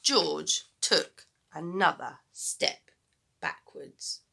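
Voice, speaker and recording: A woman's voice: low voice, expressive, very slow, vocal fry, bright, forceful tone. A woman reading a children's storybook aloud expressively, as a teacher would to pupils.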